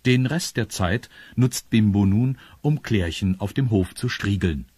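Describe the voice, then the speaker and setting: A man's voice: fairly low pitch, unhurried, a little mellow, breathy edge, no room sound. A man narrating a children's audio drama in a scripted storytelling style. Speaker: narrator of a children's radio play.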